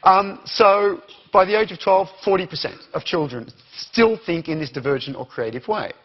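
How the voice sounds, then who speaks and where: A man's voice: sits high, moderate pace, lo-fi sound. A man with an Australian accent giving an interactive conference talk, questioning the audience as he goes. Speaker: conference speaker.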